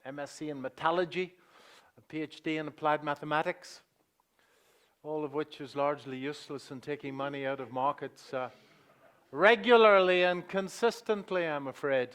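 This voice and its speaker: A man's voice: fairly high pitch, steady tone, normal speed, a bit bright, clean tone. Northern Irish man giving a chatty seminar talk. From England. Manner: chatty, joking, many "uh" fillers, drops his voice on asides. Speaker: seminar presenter. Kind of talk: live conference presentation. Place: conference hall with audience.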